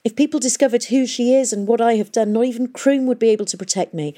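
A woman's voice: lowish voice, fairly even intonation, moderate pace, mellow. A woman reading the part of a guilt-ridden middle-aged father who confesses to murder, pleading and miserable. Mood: desperate.

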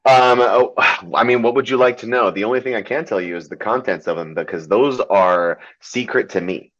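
Hesitant low-voiced man, muffled sound. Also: moderate pace, fairly bright tone. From Germany. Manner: hesitant, many ums and restarts, laughs often. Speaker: pro wrestler guest. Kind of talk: casual podcast interview. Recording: dull lo-fi sound.

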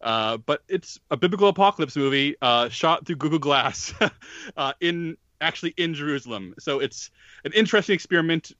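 A man's voice: fairly high pitch, expressive, fairly quick, bright, forceful tone, no room sound, lo-fi sound. A man talking casually as a podcast co-host, reviewing a film with rambling asides and chuckles. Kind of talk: casual chat.

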